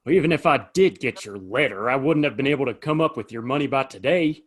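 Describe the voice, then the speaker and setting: A man playing a weary Old West saloon keeper in an audio play, husky, hesitant and folksy. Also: mid-range voice, expressive, fast, lightly acted. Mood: indignant, worried. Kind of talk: Western audio play acting.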